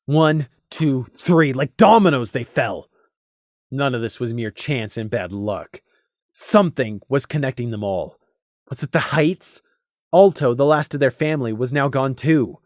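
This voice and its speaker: A man reading a scripted story as a narrator, deliberate and expressive, with dramatic emphasis. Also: medium pitch, dry recording, studio quality. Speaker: audiobook narrator.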